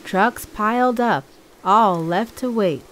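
A woman reading a rhyming children's story aloud in a sing-song, drawn-out way. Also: medium pitch, very noisy. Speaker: storybook reader. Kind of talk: expressive children's read-aloud narration. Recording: music behind the voice. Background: loud music.

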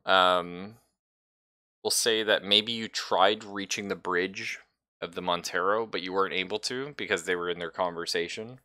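A man's voice: sits low, bright, forceful tone, clean tone. A man casually narrating an online tabletop game as game master, in a joking, loose way.

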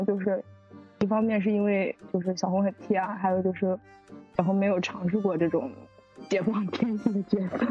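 Gushing woman in muffled group chat. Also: medium pitch. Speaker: audio drama production staff. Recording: muffled online voice chat, music behind. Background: background music.

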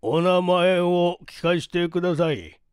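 A man voicing a stout, genial, wealthy old gentleman in a story reading, with courteous, deliberate, old-fashioned speech. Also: sits high, clear, resonant tone, dry recording, clean studio sound, pitched above the performer's own voice. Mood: genial, welcoming.

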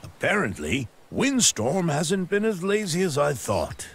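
An actor playing an older male riding master in a video game, giving measured, encouraging instructions. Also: a little high, huge pitch swings, slight background noise, exaggerated voice. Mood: approving. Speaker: riding trainer. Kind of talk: scripted video game dialogue.

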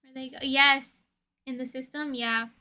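Young female streamer, high-pitched and bright, playful slangy chat with viewers. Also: steady tone, unhurried. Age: young adult. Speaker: livestream host. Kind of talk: casual livestream chat with viewers. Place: indoor room with desk and shelves of collectibles.

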